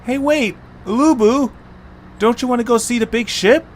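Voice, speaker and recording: A man voicing an easygoing, sensible young creature boy with animated, casual delivery in an amateur cartoon audio drama. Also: very high voice, normal speed, a little hiss or hum. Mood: eager, alarmed.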